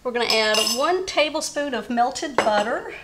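A woman's voice: high-pitched, lively intonation, moderate pace, very noisy. A woman hosting a home cooking demonstration in her kitchen, chatty and upbeat, talking to viewers as y'all. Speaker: cooking show host. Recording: echoey kitchen.

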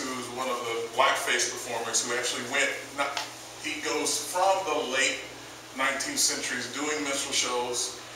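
A man giving a public lecture on theater history, emphatic and deliberate, stressing key words. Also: fairly high pitch, background noise. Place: large dim lecture room with projector screen. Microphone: far camera. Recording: echoey room from far off.

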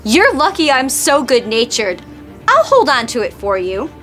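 An actress playing a scheming middle-aged flight attendant who sounds falsely sweet. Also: female voice, sits high, big pitch swings, normal speed, fairly bright tone, faint music behind. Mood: sly. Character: scheming thieving female flight attendant, sly. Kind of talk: scripted comedy sketch acting.

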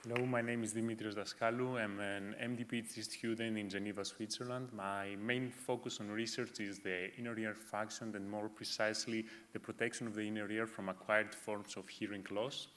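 A young man, a doctoral student, introducing himself as a board candidate in a conference hall, steady but with fillers. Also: fairly low pitch, fairly quick, few pauses. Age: young adult.

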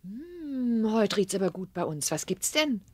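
Anxious, earnest young mother, radio play, breathy-rough mid-range voice. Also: medium pitch, sing-song, unhurried, no echo. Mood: tender. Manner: hesitant, earnest. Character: anxious, gentle young mother working in editing. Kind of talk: German radio play acting.

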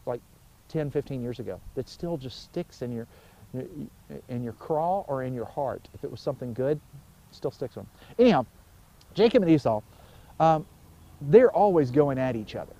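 A man in his forties, a pastor giving an informal, conversational sermon outdoors, telling stories with emphatic pauses. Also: medium pitch, mellow, a little hiss or hum, poor audio. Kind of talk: informal sermon, explaining scripture. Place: outdoors by a wooded pond.

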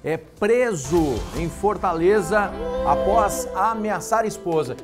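A man's voice: sits high, expressive, slow pace, faint music behind. Laid-back joking man, studio co-host. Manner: laid-back, joking, reads the news items. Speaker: TV gossip segment co-host. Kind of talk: casual studio banter.

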